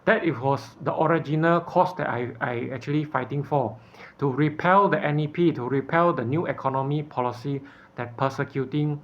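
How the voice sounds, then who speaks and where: A man from Malaysia, speaking in an earnest, halting way in an unscripted personal appeal, often stopping to search for words. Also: a little high, fairly bright tone, highs cut off, slight background noise.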